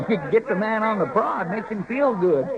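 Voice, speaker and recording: An actor playing a talkative, folksy frontier sidekick with a homespun drawl, in a 1950s radio drama. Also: male voice, high-pitched, sing-song, moderate pace, vocal fry, exaggerated voice. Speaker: marshal's helper. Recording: tinny old radio with saloon crowd behind.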